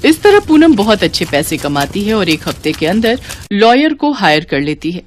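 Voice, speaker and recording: A woman narrating a cartoon story in an expressive, lilting storytelling manner. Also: medium pitch, moderate pace, slight background noise.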